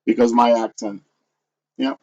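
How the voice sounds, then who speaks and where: Lively man with a Rhode Island accent. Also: mid-range voice, measured pace, creaky. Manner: lively, joking, often quiet and trailing off. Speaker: player. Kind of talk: casual tabletop game chat. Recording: dull lo-fi stream audio.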